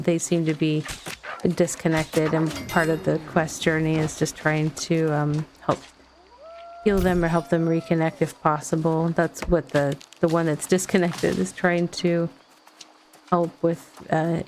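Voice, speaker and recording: A woman giving casual let's play commentary and chatting with viewers in a rambling, halting way. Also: on the low side, a little mellow, slight background noise. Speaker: game streamer.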